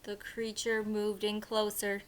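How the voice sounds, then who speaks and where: A woman reading a picture book aloud as a teacher would, animated, with hushed asides to young listeners. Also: mid-range voice, a little slow.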